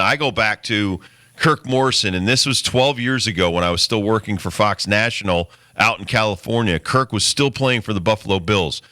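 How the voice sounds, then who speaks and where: A man's voice: mid-range voice, bright, forceful tone, dry recording, studio quality. A man hosting a sports talk show, speaking casually with blunt, opinionated takes.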